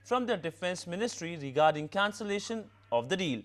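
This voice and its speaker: A male news anchor with an Indian accent reads from a script. His voice is pitched a little high for a man. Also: expressive, brisk.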